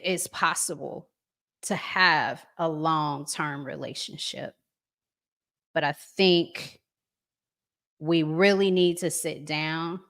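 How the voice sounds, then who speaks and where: An American woman hosting a relationship talk show, chatting to viewers and giving advice in an earnest, emphatic, deliberate way with pauses. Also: deep voice, creaky, fairly bright tone. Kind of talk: live chat to viewers, reading comments, giving advice.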